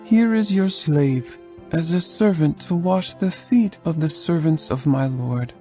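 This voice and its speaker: A woman playing a humble, pleading wife in ancient Israel in a dramatized scripture reading, reverent and measured. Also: low voice, warm tone, faint music behind. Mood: submissive.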